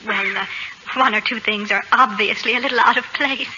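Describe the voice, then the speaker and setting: A woman's voice: fairly high pitch, a little fast, sharp tone. An actress playing a polite, flustered suburban mother who speaks hesitantly and apologetically, in a 1940s radio comedy style. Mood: politely flustered. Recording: noisy, muffled old radio recording.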